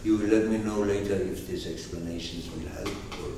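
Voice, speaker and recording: A man's voice: fairly low pitch, steady tone, brisk, noisy background. A man with an Arabic accent explaining terms to a class, rambling and asking the room questions. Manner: digressive, asks the room questions. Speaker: teacher. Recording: roomy, far from the mic.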